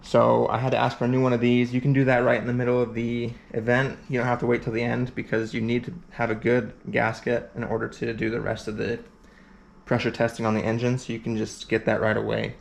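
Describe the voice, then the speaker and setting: A man's voice: medium pitch, completely flat delivery, fairly quick, creaky. A man giving a casual hands-on repair tutorial, rambling with fillers and thinking aloud as he works. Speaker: competitor explaining a repair event.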